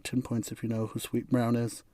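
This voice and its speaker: A hoarse, raspy man of middle pitch talks through his views in a casual solo podcast, chuckling as he goes and often backing up to restart a sentence. He is from the United States, and his voice sounds close and dry, with little room sound. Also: monotone, moderate pace, dark tone, dry recording. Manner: rambling and opinionated, with frequent self-restarts and chuckles. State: hoarse from bronchitis. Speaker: podcast host.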